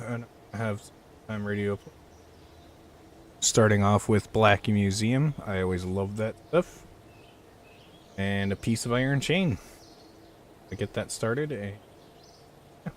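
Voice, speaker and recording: A man casually chatting to his stream viewers in a low-key, hesitant way. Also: slight background noise.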